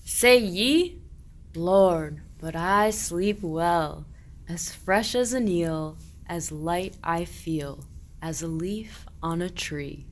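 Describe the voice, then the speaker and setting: A man's voice: fairly high pitch, very slow. A man acting a hearty, blunt shepherd in a comic radio play, declaiming rhymed verse theatrically. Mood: drowsy, contented.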